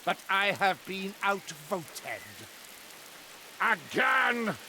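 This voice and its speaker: Casting: a zealous, stern witch hunter in a fantasy game; tense bright male voice, measured delivery, theatrical and self-righteous. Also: sits high, sing-song, a little hiss or hum, exaggerated voice. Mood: wry, disdainful. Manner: pompous, archaic, emphatic declamation. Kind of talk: theatrical scripted video game monologue.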